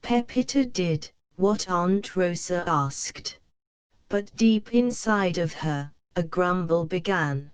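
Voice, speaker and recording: A woman narrating a children's storybook in a slow, clear read-aloud manner. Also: on the low side, lively intonation, dry recording.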